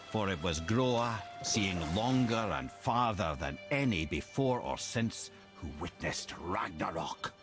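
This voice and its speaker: Game role: wry old lore keeper, low voice, swooping delivery, eloquent. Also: male voice. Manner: eloquent, wry, dramatic storytelling. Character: wise, witty old male storyteller and lore keeper. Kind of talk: cinematic video game dialogue.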